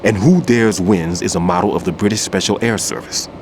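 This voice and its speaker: A man's voice: lowish voice, background noise, close to an everyday voice. A man voicing a grave old bomb expert. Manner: measured, grave. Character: seasoned older male bomb expert, wary mentor. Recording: game cutscene with music.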